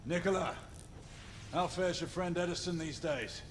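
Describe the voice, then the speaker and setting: An actor playing a composed, wry gentleman knight in a video game, formal and understated. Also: on the high side, moderate pace, slight background noise, lightly acted. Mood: cordial. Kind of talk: video game cutscene acting.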